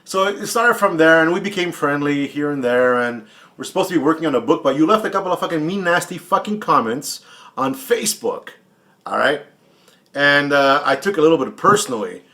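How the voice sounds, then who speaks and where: A man's voice: fairly high pitch, expressive, brisk, bright, forceful tone. A man in his late forties answering another vlogger's questions in a casual, blunt, heavily profane and taunting way, often repeating himself for emphasis. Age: 40s. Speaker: YouTube watch channel host.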